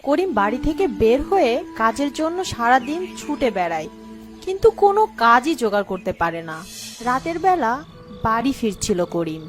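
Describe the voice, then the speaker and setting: A woman narrating a scripted children's moral story in an expressive way. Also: a little high, moderate pace, soft background music.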